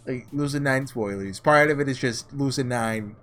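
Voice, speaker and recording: A man's voice: medium pitch, normal speed, a bit bright, soft background music. A man doing let's-play commentary, reading a visual novel aloud dramatically and tossing in offhand, sarcastic asides. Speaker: gaming host reading aloud and reacting.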